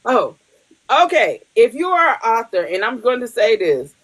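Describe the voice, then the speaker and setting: A woman's voice: fairly high pitch, sing-song, normal speed, low-quality recording. A woman hosting a podcast in casual chat, blunt and profane, laughing often. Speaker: podcast host.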